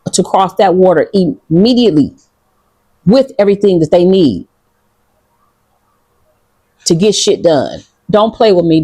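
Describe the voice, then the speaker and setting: A woman's voice: lowish voice, a little mellow, breathy edge, no room sound. A woman from Detroit with a Detroit accent, talking casually and bluntly to her viewers, defiant and profane. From Detroit, United States. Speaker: vlogger. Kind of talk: casual talk to camera. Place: outdoors in Ghana.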